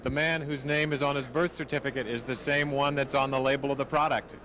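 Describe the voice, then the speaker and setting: A man acting as tour guide, smoothly announcing each stop. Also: medium pitch, steady tone, a little fast, a bit bright.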